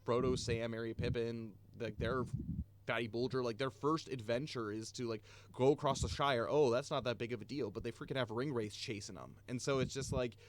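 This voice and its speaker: A man's voice: medium pitch, steady tone, fairly quick, slight background noise. A man running a tabletop game, chatting casually and enthusiastically after the session, recovering from being sick. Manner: chatty, filler-heavy, enthusiastic. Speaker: game master. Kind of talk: casual post-session chat.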